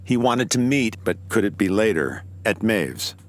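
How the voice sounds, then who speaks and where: A man's voice: lowish voice, sing-song, measured pace, soft background music. An actor playing an easygoing, wry man of the seventies rock scene in an audio drama, conversational as narrator and in dialogue. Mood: wry, casual. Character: Eddie, easygoing man in the 1970s rock scene, telling a murder mystery. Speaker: narrator and lead character. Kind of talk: full cast audio drama, first-person narration and dialogue.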